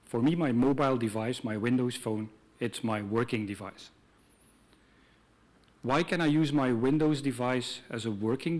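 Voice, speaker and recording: A middle-aged man from Belgium presents on a conference stage through a body mic, speaking English as a second language. His delivery is easygoing and chatty, full of ums and asides to the audience, with an ordinary mid-range voice. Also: medium pitch. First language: not English. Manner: conversational, relaxed, with frequent ums.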